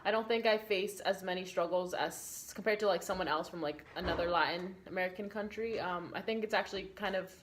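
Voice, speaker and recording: A woman in her early twenties from Puerto Rico, whose first language is Spanish. She speaks in a fairly low voice. She answers casually, with plenty of fillers. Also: a little fast, hardly any pauses, a little hiss or hum. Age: 20s. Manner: relaxed, with many fillers and likes. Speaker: interviewee.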